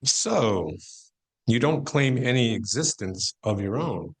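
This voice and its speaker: A man's voice: mid-range voice, expressive, slow. A man playing a curious questioner in a stage play. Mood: probing. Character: curious male questioner addressing a saint and goddess. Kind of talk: amateur stage play performance.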